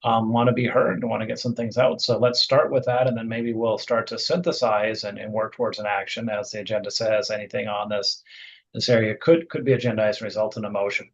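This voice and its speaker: A man's voice: on the low side, flat delivery, a little fast, gravelly. A man facilitating a meeting with procedural remarks, heard through dull, muffled audio.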